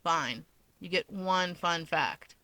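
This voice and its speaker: A woman's voice: fairly low pitch, takes it slowly, sharp tone, dry recording. A woman voicing a taunting, dismissive young man with a grudge in a fan fiction audiobook. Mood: curt, dismissive. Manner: sardonic, dismissive.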